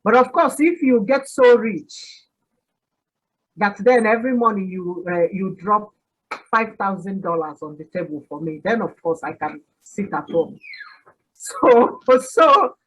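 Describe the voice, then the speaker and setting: A Nigerian woman in her sixties, an Igbo speaker, telling her story as a podcast guest with frequent pauses and laughter. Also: mid-range voice, lively intonation, breathy edge, low-quality recording. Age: 60s. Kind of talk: reflective interview answer.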